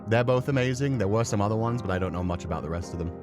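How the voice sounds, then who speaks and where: A man livestreaming a horror game, chatting casually and excitably and swearing freely. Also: on the low side, racing, music in the background. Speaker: game streamer.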